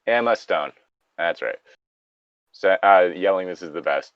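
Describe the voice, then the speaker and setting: A low-voiced man reads a story aloud with very lively intonation. He drops in chatty, joking asides as he goes. The sound is close and dry, a little dull and lo-fi. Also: a little slow, dry recording. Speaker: co-host reading stories aloud. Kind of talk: story reading with casual banter.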